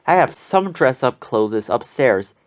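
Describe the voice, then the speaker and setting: A reader voices a kindly storybook hostess, gracious and welcoming. She speaks gently and politely at a medium pitch, with lively rises and falls. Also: measured pace. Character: kindly woman hosting a costume party, gracious and welcoming.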